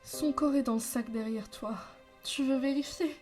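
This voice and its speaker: A female actor plays a middle-aged mob mother in an audio drama. She speaks with grave restraint in a slightly high, warm-toned voice. Quiet music runs underneath. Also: lightly acted. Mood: grave, controlled. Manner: measured, withholding, grave. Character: composed, grave mafia mother. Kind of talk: French audio drama acting. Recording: faint music behind.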